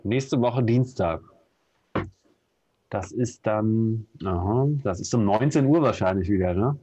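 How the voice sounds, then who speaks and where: A man in his thirties with a Berlin accent, co-hosting a casual live stream from home, relaxed and full of hesitations. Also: on the low side, unhurried, breathy edge. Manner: relaxed, many fillers and hesitations. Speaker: co-host. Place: home room with bookshelf. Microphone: video call webcam. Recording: dull lo-fi video call audio.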